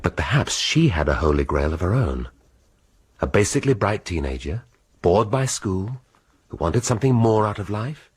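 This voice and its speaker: Low, husky-voiced English detective. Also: male voice, big pitch swings, heavily acted. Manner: measured, reflective, dry wit. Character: senior English detective, brooding and bookish. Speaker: police detective inspector. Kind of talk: radio drama.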